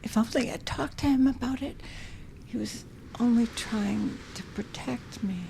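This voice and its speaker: A woman playing a moody, guilt-ridden, fragile widow in an audio drama, halting and hushed, often slipping into whispers. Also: a little high, vocal fry, slight background noise, rough recording. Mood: regretful, shaken.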